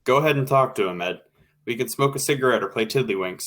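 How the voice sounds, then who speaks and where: A man's voice: mid-range voice, quick pace, fairly bright tone, natural voice. A man acting in an old-time radio drama as an impatient, sarcastic, slangy radio director.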